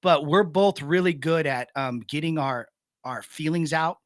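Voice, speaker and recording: A man's voice: fairly high pitch, unhurried, no room sound, clean studio sound. A middle-aged man, a veteran guest on a radio talk show, speaking bluntly and earnestly and swearing freely. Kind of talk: candid conversation.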